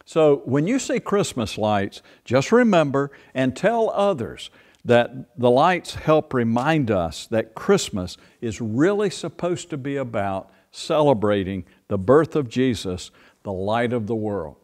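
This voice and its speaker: An older man in his sixties, a pastor who talks slowly and playfully to a group of children. His pitch rises and falls a lot as he explains things. He is recorded by a camera across a church sanctuary. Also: big pitch swings, darkish tone. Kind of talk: children's sermon. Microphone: far camera.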